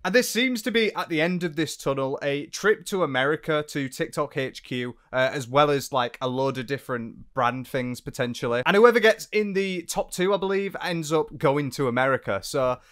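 A man's voice: on the high side, normal speed, hardly any pauses, a bit bright. A young man in his twenties giving sarcastic, exasperated reaction commentary into a close handheld mic in a small room. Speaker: commentary YouTuber. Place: small room indoors, gaming chair. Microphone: handheld dynamic mic near face.

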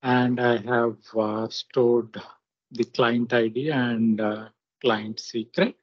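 Husky man narrating a halting software demo. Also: medium pitch, steady tone, no echo. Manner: halting, short instructional phrases. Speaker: software tutorial presenter. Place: screen recording voice-over. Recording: muffled lo-fi screen-recording audio.

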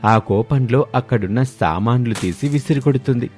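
A man's voice: mid-range voice, moderate pace, darkish tone. A man reading scripted narration for a family story as its narrator. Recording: music behind the voice.

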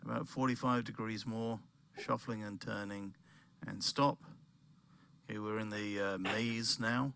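Man, deep voice, slow delivery, calm directive guiding cues. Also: creaky, a little hiss or hum. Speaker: ski guide. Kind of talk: step-by-step spoken guiding commentary.

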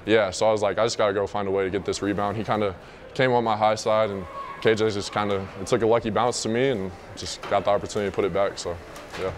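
Young male college player, deep-ish voice, brisk and modest, lapel mic. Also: steady tone, noisy background. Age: young adult. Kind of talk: post-game interview. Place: indoor arena interview backdrop.

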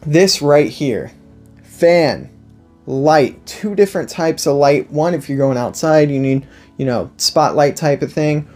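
A man's voice: a little high, slow, a little mellow, soft background music. A man in his twenties giving a casual, unscripted product review indoors, enthusiastic and emphatic, often repeating himself. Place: indoor room, seated at a table before a backdrop.

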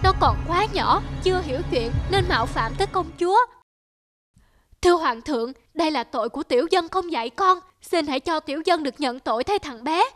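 A humble peasant mother in a cartoon fairy tale, begs in a high voice. She is deferential and pleading, with faint music underneath. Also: expressive, brisk, fairly bright tone.

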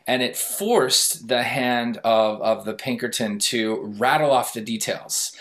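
A man's voice: medium pitch, takes it slowly, a bit bright. Emphatic, reflective man on a panel. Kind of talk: reflective commentary in a panel discussion. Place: indoor room, on a video call. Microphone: video call microphone.

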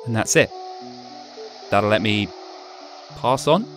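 An actor plays a gentle young man's ghost resigned to his death. His talk is hesitant and conversational with lively intonation. Faint music sits under this radio drama. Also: fairly low pitch, slow. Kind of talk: supernatural radio drama acting.

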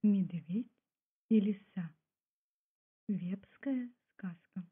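A woman with a husky voice giving a scripted storytelling narration. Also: very slow. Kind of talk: scripted folk tale and documentary narration. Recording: muffled, low-fidelity phone-like sound.

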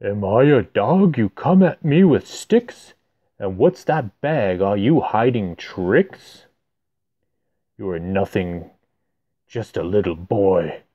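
A man's voice: fairly low pitch, animated, slow pace, warm tone, muffled recording. A man voicing a huge, boastful giant warrior who sneers and taunts, for a children's storybook. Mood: mocking.

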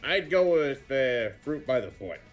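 Casting: male actor as blunt cartoon crocodile detective, mid-pitched, easygoing and halting gaming chat, music underneath. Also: big pitch swings. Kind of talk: casual gaming chat in character. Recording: music behind the voice.

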